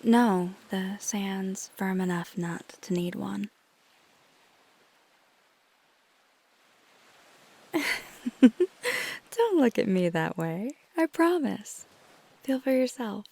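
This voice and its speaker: A woman playing a flirty, tender vampire in an intimate ASMR roleplay, speaking softly and gently, mostly in a breathy whisper. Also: mid-range voice, big pitch swings, heavily acted. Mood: playful, flirty. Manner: slow, gentle, hesitant, teasing.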